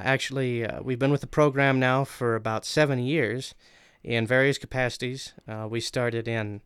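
A man's voice: medium pitch, fairly bright tone, clean tone, no room sound, studio quality. A young man, a guest writer, recounting his work in a casual, informal way.

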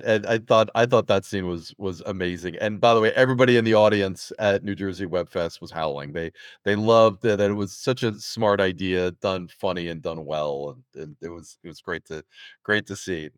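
A man's voice: on the low side, no echo. Man hosting an interview, dry close sound. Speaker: podcast host interviewer. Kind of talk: casual interview chat.

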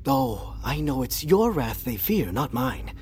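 Slow, swooping, sinister enforcer. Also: medium pitch, big pitch swings, a little mellow, slight background noise. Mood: silky, deferential. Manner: florid, formal, deliberate. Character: theatrical, sinister henchman-like enforcer relishing threats. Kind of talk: audio fiction drama acting.

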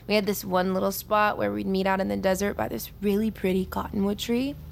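An actress playing a cocky, foul-mouthed young army recruit in a naturalistic audio drama, with a flippant, teasing manner. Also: female voice, mid-range voice, little pitch movement, normal speed, creaky, a little hiss or hum, natural voice. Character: brash, cocky young female army recruit, manipulative, wounded.

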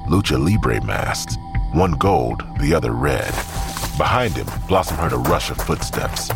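A man's voice: rumbling low, lively intonation, a little slow, creaky. A man narrating a scripted audio drama as a storyteller. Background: music.

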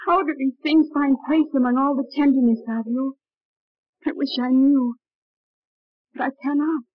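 An actress playing an anguished, devoted lover in a 1950s radio drama, intense and poetically melodramatic. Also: female voice, high-pitched, steady tone, normal speed, dry recording, close to an everyday voice. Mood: anguished love. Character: anguished, devoted woman in love with a killer. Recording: thin, tinny vintage radio recording.

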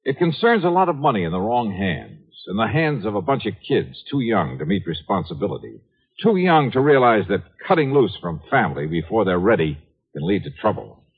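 A tinny 1950s radio recording of a no-nonsense insurance investigator. His husky voice moves in lively swings of pitch, punchy and wry, in classic hard-boiled radio detective style. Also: a little fast, exaggerated voice. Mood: brisk, wry.

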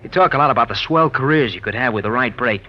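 A brash, smooth-talking American gambler played by a male actor from a 1940s radio drama. He cajoles and needles in slangy phrases, his pitch jumping around freely. The sound is muffled, lo-fi vintage radio. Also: lively intonation, fast, close to an everyday voice. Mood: mocking needling. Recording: dull, lo-fi old radio recording.

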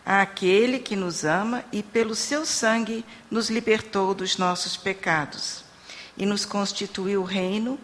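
A woman interpreting a sermon into Portuguese and reading scripture aloud in a steady, measured way. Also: mid-range voice. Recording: long hall reverb with faint room noise.

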